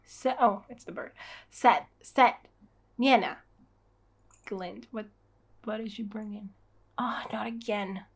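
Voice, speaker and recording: A woman's voice: mid-range voice, steady tone, unhurried, creaky, husky. A woman giving a casual read-along reaction as host, with informal, wry, sarcastic commentary.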